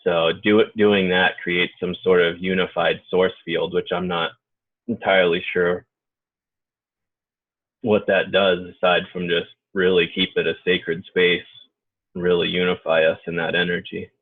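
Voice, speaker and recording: A man leading an unscripted guided group meditation in an informal, halting way. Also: low-pitched, level tone. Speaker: guided meditation leader and healer. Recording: muffled phone-like narrow-band audio.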